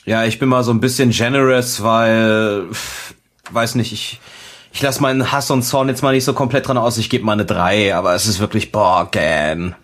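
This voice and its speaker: A man's voice: fairly low pitch, steady tone, moderate pace, fairly bright tone. Blunt, slangy man in casual chat. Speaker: co-host of an anime review show.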